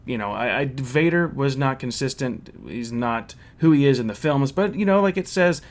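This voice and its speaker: A man's voice: medium pitch, expressive, normal speed. A man with a mid-range voice reviews and recaps a story in a casual, conversational way. He is blunt and critical, stating his opinions plainly.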